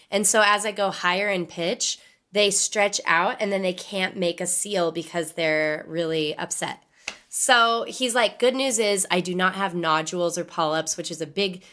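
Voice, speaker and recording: A woman with a hoarse, strained voice sitting a little low in pitch. She talks casually to her stream viewers and rambles on while she replies to their comments. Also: fairly bright tone. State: hoarse from laryngitis. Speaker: musician livestreamer.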